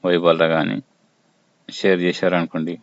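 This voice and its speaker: A man presenting an informal app tutorial, explaining step by step in short, halting phrases. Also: deep voice, level tone. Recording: muffled lo-fi audio with faint noise.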